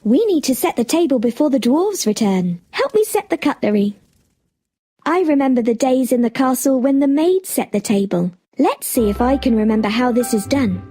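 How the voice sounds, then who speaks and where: A woman's voice: high-pitched, expressive, normal speed, husky. A woman playing a sweet, cheerful young princess in a children's story, politely encouraging the listener. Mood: gently instructive. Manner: polite, encouraging, gently instructive.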